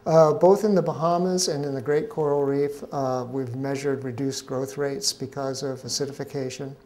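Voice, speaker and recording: A middle-aged man, a scientist being interviewed at a conference pavilion, explaining in a measured way with frequent hesitations. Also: mid-range voice, fairly even intonation, a little mellow. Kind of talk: interview answers.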